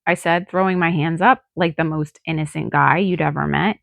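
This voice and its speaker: A woman reads fiction aloud in an expressive audiobook style. Her voice sits a little low with a gravelly fry at the edges, and the recording is dry and close. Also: normal speed, no echo. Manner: dramatic, acts out dialogue. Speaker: author reading own novel. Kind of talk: expressive audiobook narration in first person.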